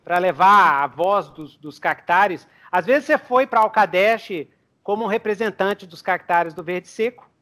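High-voiced game master. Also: male voice, measured pace, sharp tone. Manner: rambling, improvising, chuckles often. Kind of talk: narrating a tabletop game.

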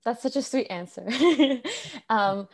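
Young woman host, relaxed and friendly, filler-heavy casual talk. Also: fairly high pitch, slow pace. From India. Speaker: podcast host. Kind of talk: casual conversation.

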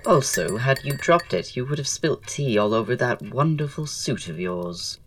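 An actor playing a witty, flirtatious poet and dandy in an audio drama, speaking in florid, arch, self-assured period style. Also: male voice, mid-range voice, lively intonation, normal speed, a bit bright, distant mic, slight background noise. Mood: teasing, flirtatious. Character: languid, witty, flirtatious male poet-playwright dandy, proud.

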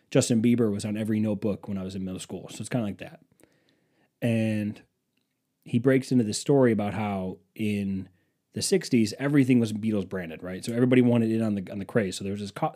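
Young man, twenties, low warm voice, rambling profane phone vlog. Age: 20s. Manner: profane, self-mocking, wandering asides. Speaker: vlogger. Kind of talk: rambling casual storytelling. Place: museum exhibit, indoors. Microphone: phone camera.

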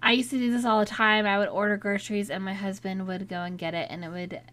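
A woman in a casual solo talk, still getting over a cold, so her voice is a little raspy at times; she speaks in a chatty, candid way. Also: mid-range voice, little pitch movement, quick pace, fairly bright tone. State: recovering from a cold, slightly raspy. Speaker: podcast host.

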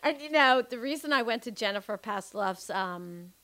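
A woman's voice: fairly high pitch, expressive, moderate pace, bright, forceful tone. A woman hosting a podcast interview, effusive and rambling, with many restarts. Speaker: podcast host. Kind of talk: casual interview chat.

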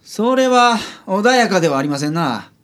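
A man's voice: high voice, very animated, normal speed, a bit bright. A man playing a grumpy, self-important detective with a Kansai accent in a radio drama. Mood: smoothly polite. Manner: gruff, grumbling, turns pompously polite with clients. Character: grumpy, scrawny, self-styled great male detective.

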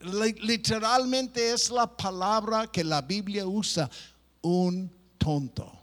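Man, pastor preaching, high voice, slow delivery, playful mimicry. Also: fairly bright tone. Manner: playful, mimics people, stop-start phrasing. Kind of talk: sermon.

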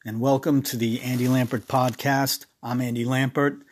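A man hosting his own podcast while ill, his voice raspy, talking haltingly with many ums and uhs into a phone. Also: mid-range voice, flat delivery. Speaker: podcast host. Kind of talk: casual solo podcast monologue.

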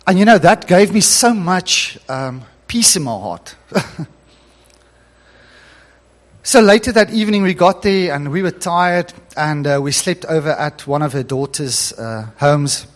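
Man, pastor, Afrikaans first language, earnest, emphatic preaching, fairly high pitch. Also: lively intonation, measured pace. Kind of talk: sermon.

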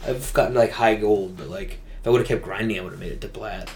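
A man's voice: lowish voice, a little fast, a little mellow, a little hiss or hum. A man chatting casually as a gaming podcast co-host, rambling and venting emphatically in slangy language.

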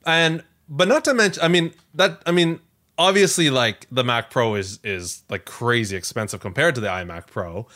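A man's voice: mid-range voice, normal speed. A man co-hosting a podcast in casual tech chat, talking in a conversational way peppered with "like" and "you know". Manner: animated, conversational, filler-heavy with frequent "like" and "you know". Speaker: podcast co-host.

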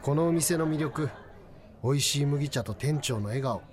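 A man's voice: a little high, normal speed, a little mellow, natural voice. A man acting in a radio drama as an easygoing, smitten regular customer, speaking with a Kansai accent. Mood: dreamy.

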